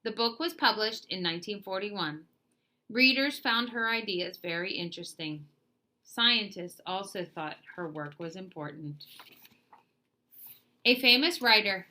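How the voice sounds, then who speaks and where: A woman narrates a children's nonfiction book in a very bright, forward voice. Her pitch sits in the middle for a woman. She reads in careful, clearly separated phrases, as for young listeners. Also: lively intonation, a little slow. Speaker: read-aloud narrator.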